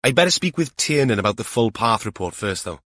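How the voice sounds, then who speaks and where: A man's voice: medium pitch, big pitch swings, brisk, pushed and bright, dry recording. Man voicing a curious, blunt junior detective. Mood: eager. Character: junior police detective, curious, plain-spoken, eager.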